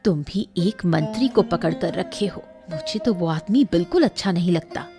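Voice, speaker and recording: A woman playing a concerned, shrewd and calm queen in a cartoon story. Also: fairly low pitch, normal speed, music in the background, close to an everyday voice. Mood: suspicious. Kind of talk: Hindi cartoon story dialogue.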